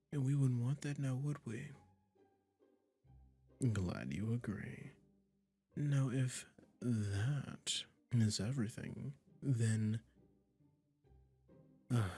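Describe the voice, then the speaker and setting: Man acting a slow, husky, possessive witch. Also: lowish voice, darkish tone. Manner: slow, coaxing, condescending pet-name talk, dropping into whispers. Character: possessive male yandere witch, sweetly menacing, obsessively devoted. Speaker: voice actor in a roleplay audio. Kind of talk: intimate roleplay audio acting addressed to the listener.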